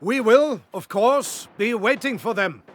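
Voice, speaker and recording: High-pitched, commanding German general. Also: male voice, lively intonation, a bit bright. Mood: sly, commanding. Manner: theatrical, measured, commanding. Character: commanding German panzer general, shrewd and confident. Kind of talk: dramatic video game military briefing.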